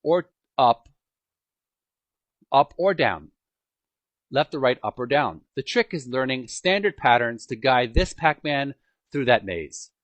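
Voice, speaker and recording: Man reading aloud with very animated pitch. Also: slow pace, long pauses, no room sound. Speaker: host reading a book aloud. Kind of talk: scripted book narration, amateur read-aloud. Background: music.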